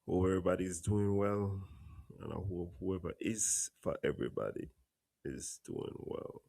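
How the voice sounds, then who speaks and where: Deep gravelly Caribbean man, stuffy. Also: expressive, warm tone, dry recording, studio quality. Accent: Caribbean English. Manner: plainspoken, blunt, unhurried monologue. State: stuffy from a cold. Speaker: host. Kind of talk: political commentary livestream. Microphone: home studio mic.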